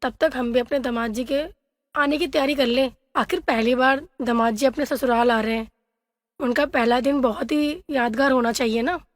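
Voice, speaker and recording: A gracious, doting middle-aged mother of the bride played by a woman in a family story. The voice is very high, with long reverb trailing it. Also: fairly even intonation, moderate pace, clean studio sound, lightly acted. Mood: courteous.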